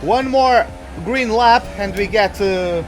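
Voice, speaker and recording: Chatty high-voiced young man, Portuguese native. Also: slow, faint music behind. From Portuguese-speaking country. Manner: rambling, chatty, many fillers and restarts, self-mocking. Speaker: gaming YouTuber. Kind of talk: casual let's-play commentary.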